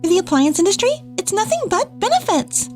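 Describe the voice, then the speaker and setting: A woman's voice: extremely high pitch, very animated, a little fast, a little mellow, soft background music. A woman playing an earnest, determined young businesswoman in a manga dub, speaking with insistent emphasis. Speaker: dub voice actor.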